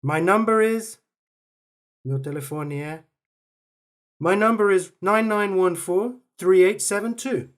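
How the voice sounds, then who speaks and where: A woman reading short phrases slowly and carefully for language learners to repeat. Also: medium pitch. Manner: reads each phrase slowly and carefully, with pauses for repetition. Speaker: phrase recording voice. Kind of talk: slow, clear phrase drills for learners.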